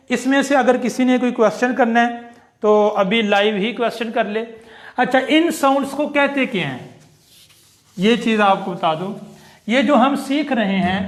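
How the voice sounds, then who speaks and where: A man teaching an online English phonetics class, slowly repeating each sound and example phrase. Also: very high voice, a bit bright, reverberant.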